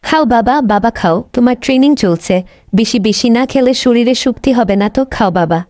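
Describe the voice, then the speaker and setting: A woman's voice: on the high side, animated, normal speed, darkish tone, no room sound, studio quality, close to an everyday voice. A woman voicing a doting animal mother in a children's cartoon, coaxing and pleading.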